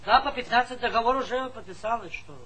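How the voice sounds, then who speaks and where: A man playing an eager, ingratiating travelling procurement agent in a Soviet stage comedy, with a husky voice. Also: very high voice, fast, sharp tone, heavily acted, poor audio. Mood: eager, bargaining.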